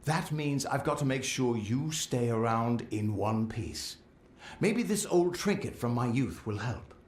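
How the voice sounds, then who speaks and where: A man's voice: medium pitch, lively intonation, a little slow, reverberant, lightly acted. A man playing a middle-aged, boastful but loyal veteran adventurer in a fantasy game, with a theatrical, earnest delivery. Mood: earnest, resolved. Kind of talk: fantasy game dialogue acting.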